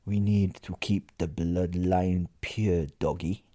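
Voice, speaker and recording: Man voicing a slow, whispering vengeful female vampire. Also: sits low, fairly even intonation, dark tone, no echo, studio quality. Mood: cold. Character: vengeful, menacing female vampire, coldly grieving her brother.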